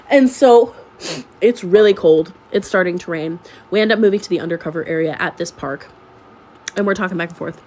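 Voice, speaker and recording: A young woman sounds stuffed-up from a cold. She tells her story in an animated, sarcastic way and leans into the drama. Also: lowish voice, moderate pace, long pauses. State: sick, congested. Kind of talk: storytime vlog.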